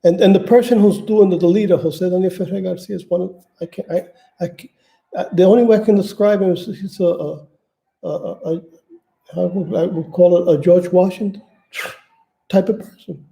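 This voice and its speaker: Halting high-voiced man with a dark tone. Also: steady tone, vocal fry, low-quality recording. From Manhattan, New York, United States. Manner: halting, stumbles and repeats words often. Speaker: channel host appealing for donations. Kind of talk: casual unscripted livestream talk.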